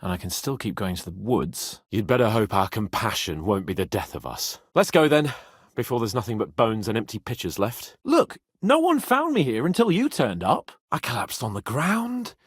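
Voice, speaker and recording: A man's voice: lowish voice, sing-song, normal speed, husky, no echo, clean studio sound. A man playing a cocky, haughty young medieval nobleman in a video game, sardonic, emphatic and brash. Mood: wry, frank. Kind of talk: video game character dialogue.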